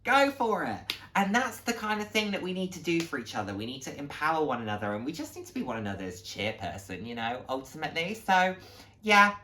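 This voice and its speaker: A man's voice: fairly high pitch, sing-song, brisk, pushed and bright, slight background noise. Male vlogger, late 20s; heartfelt, encouraging, informal delivery with a reflective streak. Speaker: vlog host. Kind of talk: casual heartfelt talk to viewers.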